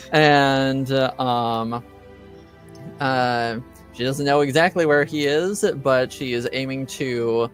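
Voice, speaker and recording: A man hosting a tabletop roleplaying game as game master, recapping casually and haltingly as he searches for words. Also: mid-range voice, a bit bright, soft background music.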